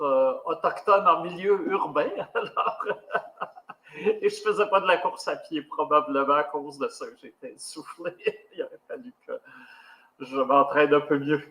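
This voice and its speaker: A man's voice: on the high side, fairly bright tone, poor audio. A man co-hosting a podcast, speaking casually with many hesitations. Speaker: podcast co-host.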